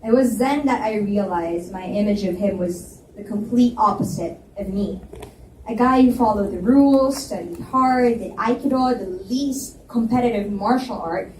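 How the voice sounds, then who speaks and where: A woman narrating a novel in the first person at a live library reading, lively and expressive. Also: medium pitch, normal speed. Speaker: reader and narrator. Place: library event space. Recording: roomy, distant mic with faint hiss.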